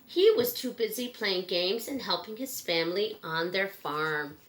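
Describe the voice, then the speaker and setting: A woman reading a children's book aloud in a simple, measured storytelling manner with pauses. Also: medium pitch, expressive.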